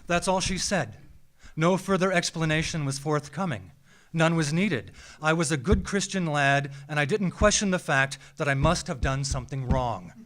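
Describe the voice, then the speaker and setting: An American man reads a scripted personal story live on stage, with a measured, theatrical delivery and deliberate dramatic pauses. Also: fairly high pitch, animated, a bit bright. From small town in Minnesota, United States. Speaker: writer reading a personal essay. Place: small theatre, live event.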